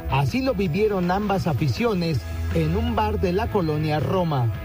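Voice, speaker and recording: A man narrating a news feature story as a voice-over in a steady, formal way. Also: sits high, unhurried, music in the background. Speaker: news reporter.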